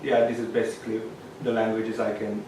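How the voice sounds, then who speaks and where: A man from Indonesia who grew up speaking Indonesian, talking casually and often pausing on "uh" and "um." He is heard from a distance in a strongly echoing room. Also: lowish voice, monotone, darkish tone, slight background noise. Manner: hesitant, many "uh" and "um" fillers. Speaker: guest, language learner. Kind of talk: casual interview chat. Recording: echoey room, mic far off.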